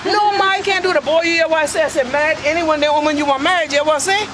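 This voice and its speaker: A very high-voiced woman with a Bajan accent tells a folk tale in lilting creole and acts out each voice in it. She comes from an old clip played back from a distance, with clear outdoor noise and passing traffic behind her. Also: rapid-fire, crowd noise. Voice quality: lilting creole twang, voices quoted characters. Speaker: storyteller.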